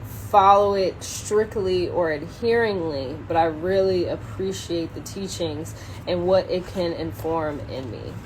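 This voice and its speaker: A woman on a casual solo livestream, halting and thinking aloud as she chats and reads from a book. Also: on the low side. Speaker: social media live streamer. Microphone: phone propped up in a window. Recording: clear background noise, ambient sound.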